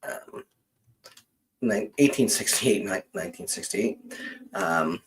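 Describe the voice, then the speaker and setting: A man with a fairly low voice talks very slowly and casually, like a host thinking aloud on a live stream. He is hesitant and halting, often leaving sentences hanging as he works through his explanation. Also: huge pitch swings, creaky, background noise. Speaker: genealogist hosting a live question session.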